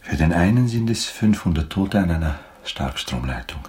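Haunted aristocratic officer, deep-voiced, halting, intense, radio drama. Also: male voice, lively intonation, a little mellow. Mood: haunted, dazed. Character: haunted, brooding Austrian baron, cavalry officer.

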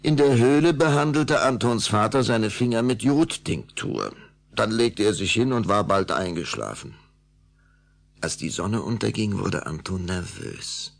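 Narrator: man with a creaky, raspy voice, dramatic radio play storytelling, lively intonation. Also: on the low side, a little slow. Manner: suspenseful, expressive storytelling.

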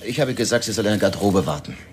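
An actor playing a subordinate police detective who reports in a hesitant, matter-of-fact way in an audio drama. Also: male voice, on the low side, lively intonation, very fast, a little hiss or hum, natural voice. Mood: hesitant, dry.

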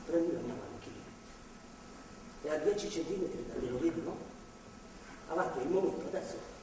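A male stage actor playing a volatile born-again reformed drunk, pleading haltingly with his wife in a theatrical, impassioned way. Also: on the high side, close to an everyday voice. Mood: hushed, insistent pleading. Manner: halting, imploring, swinging from hushed to shouting. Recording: echoey theatre hall, distant mic, faint noise.

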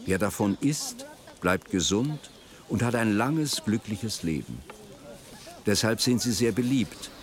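A man reading literary narration for a radio play in a measured, formal way. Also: fairly low pitch, animated.

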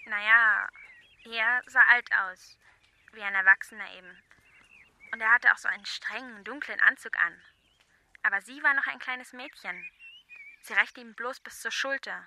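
A woman's voice: fairly high pitch, moderate pace, long pauses, sharp tone. An actress playing a young girl in a radio crime drama, speaking in simple, childlike, matter-of-fact phrases.

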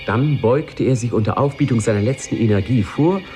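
A man's voice: medium pitch, fairly quick, mellow, soft background music, natural voice. A man playing a sly, wry narrator who addresses the listener in a 1950s German radio play. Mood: wry, dramatic.

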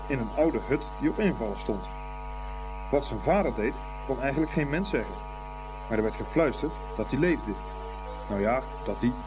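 A man's voice: mid-range voice, poor audio. A man narrating a scripted story as the narrator of a radio play. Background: music.

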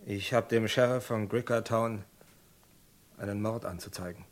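An actor playing a grim, stubborn rancher and gunslinger in a German radio crime drama, speaking in a terse, heavy, deliberate way. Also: male voice, lowish voice, fairly even intonation, close to an everyday voice. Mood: grim, flat.

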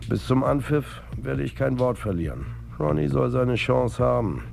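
Wry, defiant football coach. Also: male voice, mid-range voice, big pitch swings, measured pace, gravelly, darkish tone, soft background music, heavily acted. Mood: wry, reflective. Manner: dry, sardonic, conversational. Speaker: actor in a radio drama. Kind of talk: German radio drama acting.

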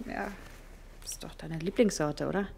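Caring woman visitor in a radio play, lowish voice, casual questions, noisy background with clicks. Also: normal speed, gravelly. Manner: casual, gently probing. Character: caring, inquisitive female visitor at a hospital bedside. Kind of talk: naturalistic radio drama.

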